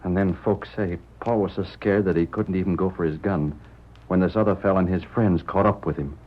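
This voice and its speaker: An actor playing a self-doubting Old West rancher, folksy and hesitant, with an American Western accent in a radio drama. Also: male voice, low-pitched, fairly even intonation, fairly quick. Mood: resigned, ashamed. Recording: muffled vintage radio drama.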